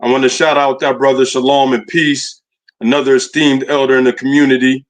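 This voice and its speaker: A man's voice: mid-range voice, little pitch movement, fairly quick, rough recording. A man with speech hosting a livestream, asking rambling questions with many fillers. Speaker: livestream host. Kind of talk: casual interview questions.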